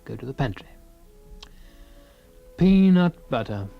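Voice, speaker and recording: A man's voice: mid-range voice, very animated, slow pace, gravelly, muffled recording, faint music behind, exaggerated voice. An actor playing a fussy, self-absorbed husband in a radio comedy-drama, rambling and savouring small things, stoic about his creeping paralysis. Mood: wistful relish.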